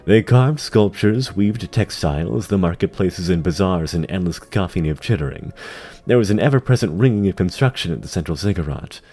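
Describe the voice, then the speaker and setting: A man narrating a scripted fantasy story in a theatrical, deliberate storytelling manner. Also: deep voice, lively intonation, dark tone, breathy edge. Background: music under the voice.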